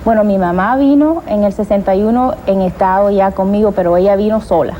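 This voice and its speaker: A woman's voice: medium pitch, fairly quick, mellow, slight background noise, rough recording. A woman, answering interview questions in an earnest, explanatory way. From Miami, United States. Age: 30s. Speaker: interviewee, lawyer. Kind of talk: documentary interview, conversational.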